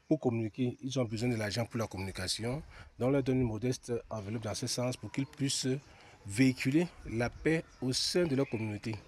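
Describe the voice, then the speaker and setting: A man's voice: medium pitch, a little mellow, slightly rough. A man explaining his organisation's work in an interview. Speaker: organisation representative.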